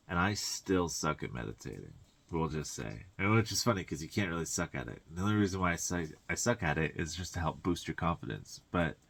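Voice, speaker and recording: Very deep-voiced man giving spiritual guidance. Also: gravelly. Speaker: tarot reader and spiritual guide. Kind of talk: informal spiritual coaching monologue.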